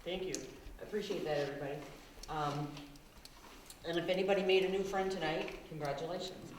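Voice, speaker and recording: A low-pitched woman speaks loudly and projects with confidence as she leads a town workshop. She is recorded from well off the mic, in a very echoey meeting room with faint background noise. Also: fairly even intonation, brisk, far from the mic. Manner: loud, projecting, self-assured. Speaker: town manager leading a workshop. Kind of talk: public meeting talk.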